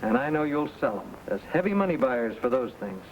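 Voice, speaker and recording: A man's voice: medium pitch, sing-song, brisk, close to an everyday voice. Man acting a terse young western man, noisy recording. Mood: hard, demanding. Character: young outlaw and his twin brother, hard and insistent. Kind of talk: old-time radio western acting. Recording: noisy old radio recording.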